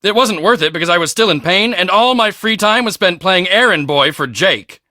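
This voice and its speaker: A teenage jock for a video game. His voice is high for a male and very sharp and forceful, with a guarded manner and a dry, close sound. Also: expressive, normal speed, no echo. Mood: confiding, regretful. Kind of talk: scripted video game voice lines.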